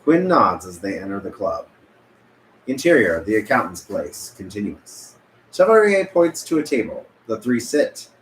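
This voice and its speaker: A man reading stage directions at a script table read, occasionally stumbling and correcting himself. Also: medium pitch. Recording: echoey room with background noise.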